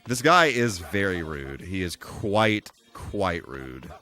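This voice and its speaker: A man's voice: deep voice, big pitch swings, slow, sharp tone. A man giving casual live commentary while playing a video game, full of mild folksy exclamations and mock-exasperated asides. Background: music.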